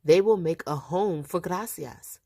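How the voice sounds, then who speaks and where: A woman's voice: fairly low pitch, measured pace, creaky, a little mellow, no room sound, clean studio sound. A woman voicing a kindly but bossy, matter-of-fact old grandmother in a children's storybook. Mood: reassuring. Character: brisk, loving old grandmother in charge.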